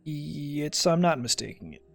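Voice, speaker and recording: A man casually commentates over his own gameplay. His voice has a lot of vocal fry, and his remarks are wry and sarcastic. Faint music plays behind him. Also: on the high side, animated, normal speed. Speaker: gamer streaming a playthrough. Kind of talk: casual game commentary, muttering and reading stats aloud. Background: game music.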